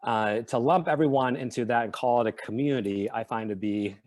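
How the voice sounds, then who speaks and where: A man hosting a live online panel, speaking in an earnest, measured way on a video call. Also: mid-range voice, darkish tone. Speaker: event host.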